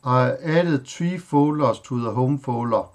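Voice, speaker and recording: Slow-spoken Danish man demoing software. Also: medium pitch. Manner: halting, plain, listing items with pauses and fillers. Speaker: tech tutorial host. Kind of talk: screen-recorded software walkthrough.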